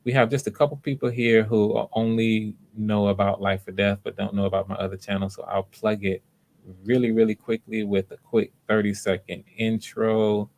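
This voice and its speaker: A man with a fairly low voice hosts a casual livestream. His pitch stays fairly flat and steady as he chats with viewers. He comes across as encouraging and appreciative. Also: normal speed. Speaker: livestream host.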